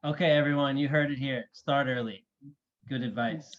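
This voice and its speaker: A man's voice: mid-range voice, fairly even intonation, normal speed, lo-fi sound. A man hosting an interview, upbeat and encouraging as he puts questions to his guests. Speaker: host.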